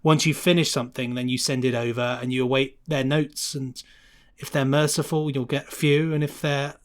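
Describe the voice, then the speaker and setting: A man's voice: medium pitch, little pitch movement, moderate pace. British man explaining his craft. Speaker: guest sound designer being interviewed. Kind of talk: casual podcast interview, explaining work process.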